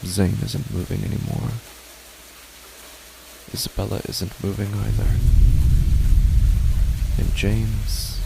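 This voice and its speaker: A man voicing a grieving parent alone in a dark, silent house, speaking in a slow, hushed, dreamlike monologue for a horror story. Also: fairly low pitch, gravelly, noisy background, exaggerated voice. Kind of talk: first-person horror narration.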